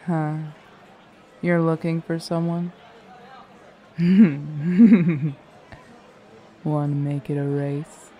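A woman playing a haughty, predatory young vampire on a drugged high in an audio roleplay, languid and taunting. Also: level tone, extremely slow, pauses often, muffled recording, a faint crowd. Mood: smug, intoxicated. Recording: clear background noise and ambience.

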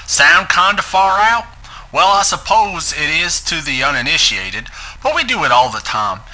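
A man's voice: on the high side, sing-song, normal speed, bright, forceful tone, rough recording. A man giving a dramatic reading of a script as its narrator. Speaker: narrator reading a transcript aloud.

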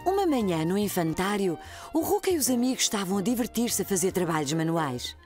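A woman with a European Portuguese accent narrating a children's cartoon in a calm, clear storytelling way. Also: medium pitch, fairly quick, faint music behind.

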